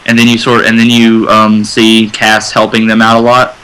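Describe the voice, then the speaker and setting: A man's voice: lowish voice, completely flat delivery, moderate pace, sharp tone, clear, resonant tone, low-quality recording. A man talking casually in a fan discussion, feeling his way and circling back over his phrases. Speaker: radio show panelist.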